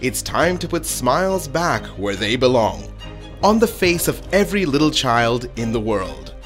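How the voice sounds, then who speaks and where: A man's voice: medium pitch, sing-song, a little slow, fairly bright tone. A man reading scripted documentary narration in a solemn, emphatic manner. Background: music.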